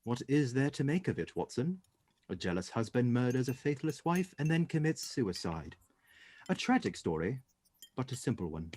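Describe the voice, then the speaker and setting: A man playing a composed, courteous English gentleman detective in a radio play, speaking in a measured, formal way. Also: mid-range voice, sing-song, slight background noise, natural voice. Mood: composed, dry.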